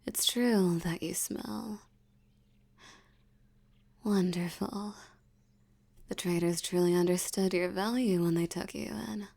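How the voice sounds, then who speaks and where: A woman voicing a dominant, aristocratic vampire elder in an intimate audio roleplay, teasing and menacing, with a breathy voice that often drops toward a whisper. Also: lowish voice, steady tone, darkish tone, full character voice. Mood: amused, coy. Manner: slow, teasing, menacing, with lingering pauses.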